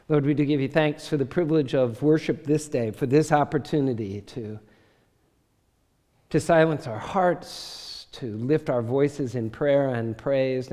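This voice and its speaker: Male pastor preaching, slightly higher voice, warm and mellow tone, echoing church hall. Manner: measured, storytelling preacher. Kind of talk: sermon. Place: church sanctuary. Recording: reverberant church sanctuary.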